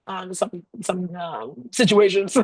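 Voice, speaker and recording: A woman casually telling stories in conversation, animated and often giggling as she talks. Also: lowish voice, takes it slowly, slightly rough. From raised by a Haitian family. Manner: animated, giggly, laughs often while talking. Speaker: interview guest, bike traveler.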